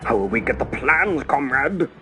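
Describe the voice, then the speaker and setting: A man's voice: mid-range voice, big pitch swings, normal speed, sharp tone, faint music behind. A man voicing a Communist submarine crewman in a dramatic comic-book reading. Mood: worried. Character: male Communist submarine crewman, troubled by a jam.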